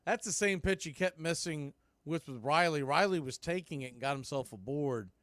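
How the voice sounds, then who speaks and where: Man, radio play-by-play announcer, slightly high voice, dry sound, steady conversational calls. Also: normal speed, no echo.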